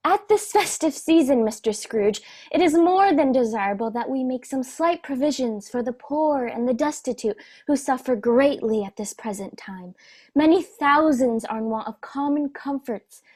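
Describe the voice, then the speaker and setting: Woman as high-voiced, earnest charity lady. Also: animated, normal speed, a little mellow, slightly rough. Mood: polite, earnest. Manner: polite, formal, pleading. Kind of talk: amateur radio play acting.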